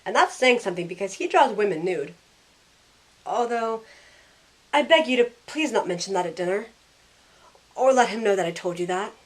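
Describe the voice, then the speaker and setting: A woman acting as a sassy young heroine who tells her own story in an audio drama. Her delivery is wry and conversational, with a medium-pitched voice and an easy loyalty to her friends. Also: lively intonation, normal speed, pauses often, natural voice, rough recording. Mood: fond, wry. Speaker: narrator and lead character. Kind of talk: first-person story narration with in-character dialogue.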